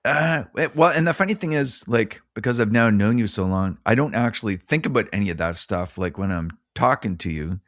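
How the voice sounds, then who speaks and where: A middle-aged man with a fairly low voice hosts a casual podcast. He is jokey and self-deprecating as he talks. The sound is dry, without any room reflections. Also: lively intonation, a little fast, few pauses, a bit bright, dry recording. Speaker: podcast host. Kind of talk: casual chat.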